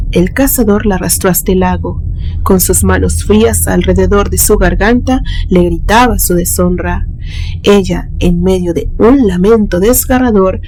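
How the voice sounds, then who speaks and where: A woman's voice: medium pitch, dark tone, a little hiss or hum, low-quality recording. A woman reads a scripted horror story in a dramatic, suspenseful storytelling manner. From Mexico. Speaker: narrator, channel host.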